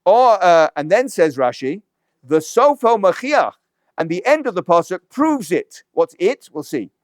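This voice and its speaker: A man's voice: high voice, very animated, measured pace, dry recording. A man teaching a Torah class in a slightly raised voice, working through the text step by step and answering his own rhetorical questions. The sound is dry and close, with no room around it. He slips in a dry aside now and then. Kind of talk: lecture-style text study.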